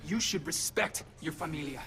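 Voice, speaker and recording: A man's voice: a little high, moderate pace, over music, natural voice. An actor playing a feisty, nervy teenage male superhero in a video game cutscene, who mixes Spanish words into his English. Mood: indignant.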